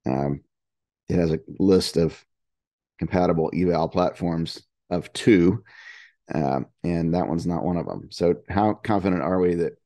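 A man's voice: low voice, measured pace, dark tone, no room sound. A man on a video call asking hesitant, puzzled questions about hardware in a technical meeting. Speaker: engineering team member asking questions.

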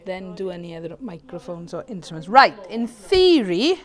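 A woman's voice: on the low side, big pitch swings, moderate pace. A British woman giving casual instructions while setting up sound equipment in a large room. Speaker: band sound setter-up and vlogger. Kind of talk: casual instructions during PA setup. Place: large bright community hall room.

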